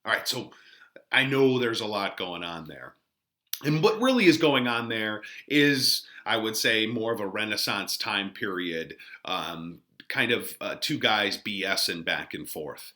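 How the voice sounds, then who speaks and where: A man teaching, giving informal, animated, conversational commentary on a play. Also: lowish voice, a little slow. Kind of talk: explanatory lesson commentary on a play.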